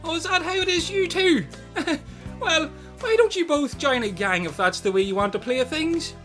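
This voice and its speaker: A man playing an excitable, easily hurt castaway in a comedy radio play, with a rambling, earnest delivery. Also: extremely high pitch, normal speed, faint music behind, heavily acted.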